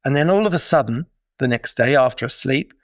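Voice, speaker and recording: A man's voice: medium pitch, animated, brisk, no echo, studio quality. A middle-aged man with a British accent, a guest explaining his ideas in a thoughtful way, with small restarts. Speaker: guest, digital detox coach. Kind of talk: casual interview talk.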